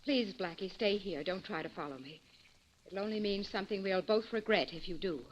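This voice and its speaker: An actress playing a headstrong, self-possessed young heiress in an old radio drama, speaking with brisk assurance. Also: female voice, mid-range voice, natural voice. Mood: headstrong, insistent. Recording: muffled vintage radio recording with hiss.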